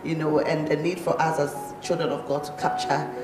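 A woman's voice: low voice, level tone, fairly quick, reverberant, loud music behind the voice, rough recording. A woman with a Ghanaian accent hosting a casual interview, asking friendly questions between giggles. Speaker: host.

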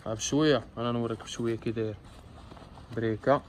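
A man's voice: mid-range voice, fairly even intonation, fast talker, a little mellow, slight background noise, close to an everyday voice. A man playing a streetwise Moroccan tough guy. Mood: gruff.

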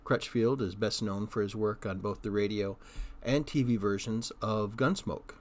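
A man's voice: lowish voice, moderate pace. Man introducing an old radio drama. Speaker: host of old-time radio reruns. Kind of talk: informal spoken introduction.

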